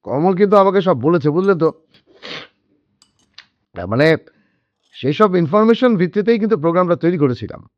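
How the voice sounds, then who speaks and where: Slick, animated, talkative salesman. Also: high voice, moderate pace, pauses often, no room sound. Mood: persuasive, lecturing. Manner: glib, peppers speech with English interjections. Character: slick, talkative middle-aged businessman and salesman, self-assured. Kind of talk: radio drama acting. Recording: dull lo-fi audio with background music.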